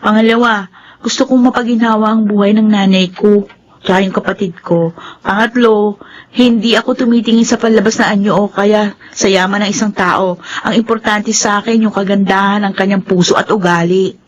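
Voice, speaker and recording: A woman's voice: medium pitch, fairly even intonation, normal speed, lightly acted. This is a frank, principled young Filipino elder sister played by a woman, dutiful and firm. The voice is mid-range, in radio drama style on a slightly dull recording.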